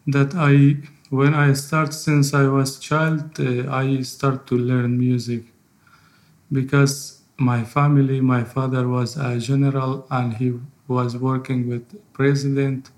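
A man in his thirties from Afghanistan with an Afghan accent and Farsi as his first language, telling his own story in halting English. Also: medium pitch, flat delivery, a little mellow. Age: 30s. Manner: halting, non-fluent English. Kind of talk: personal spoken testimony.

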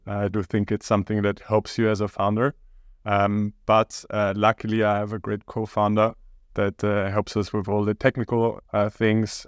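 A man from Austria, a startup co-founder talking as a podcast guest in a hesitant, halting way. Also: lowish voice, no room sound, studio quality. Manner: hesitant, halting, many pauses. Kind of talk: casual interview talk.

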